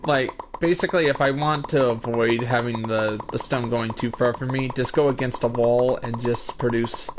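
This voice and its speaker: A man's voice: medium pitch, steady tone, normal speed, hardly any pauses, background noise. A man in his twenties giving casual commentary while streaming a building game, explaining each step and talking to chat viewers by name. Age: 20s. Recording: muffled lo-fi stream mic.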